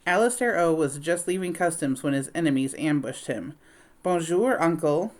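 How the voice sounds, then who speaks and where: A woman's voice: deep voice, fairly quick, a little mellow. A woman narrating a children's book as a read-aloud.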